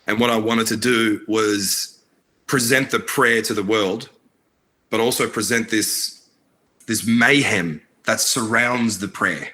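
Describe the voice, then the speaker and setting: A man's voice: fairly low pitch, slow pace, pushed and bright. A man, born in Iraq, talking earnestly as a filmmaker guest in a casual interview, with lots of fillers and restarts. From Iraq, raised in Australia. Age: adult, married.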